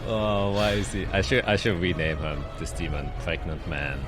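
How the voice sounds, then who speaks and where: A man's voice: low voice, moderate pace. A man speaking casually as game master of a tabletop roleplaying game. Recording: music under the voice. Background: music.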